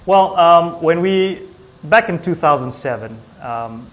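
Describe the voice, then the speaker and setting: A man from Singapore chatting casually as an interview guest, with hesitant, halting phrasing. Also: a little high, animated, fairly bright tone. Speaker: small business owner, IT technician, interview guest.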